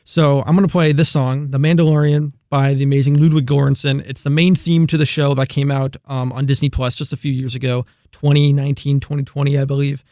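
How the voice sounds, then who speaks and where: A man's voice: medium pitch, flat delivery, a little fast, hardly any pauses, mellow, no room sound, clean studio sound. A man hosting a casual radio music show, talking loosely with many fillers as he introduces the next song. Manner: loose, filler-heavy, enthusiastic about composers.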